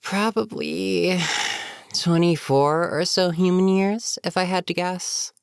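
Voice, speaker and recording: A voice actor playing a bitter, menacing goblin captain in a fantasy audio roleplay, speaking to a captive with sardonic, unhurried menace. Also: medium pitch, fairly even intonation, gravelly, clean tone, dry recording, studio quality. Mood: smug, matter-of-fact. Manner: sardonic, unhurried, matter-of-fact threats. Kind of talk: scripted fantasy audio roleplay, addressing the listener.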